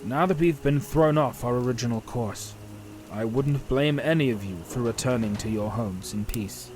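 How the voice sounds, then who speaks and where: A serious, weary half-elf hero, acted out by a male narrator in a fantasy audio tale. His mid-pitched voice is earnest and grave, over soft music. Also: moderate pace, lightly acted. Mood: solemn, resolute.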